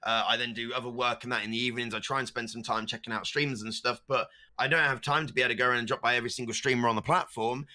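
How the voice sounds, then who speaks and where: A man who stutters, chatting casually as a streamer guest on a podcast and breaking into loud meme voices now and then. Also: medium pitch, steady tone, rapid-fire.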